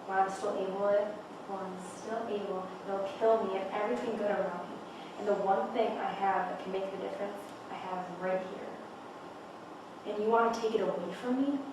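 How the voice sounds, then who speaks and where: A woman's voice: mid-range voice, close to an everyday voice. A woman playing a defiant, desperate writer in a dramatic script read-through. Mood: challenging, defiant. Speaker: actor reading a script. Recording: very echoey, distant mic, noisy room.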